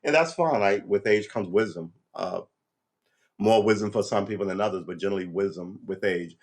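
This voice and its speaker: A man in his fifties in a rambling, reflective monologue, full of restarts and "you know", and his pitch jumps when he gets worked up. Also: normal speed. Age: 50s. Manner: lively pitch swings when animated. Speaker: vlogger. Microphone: livestream setup.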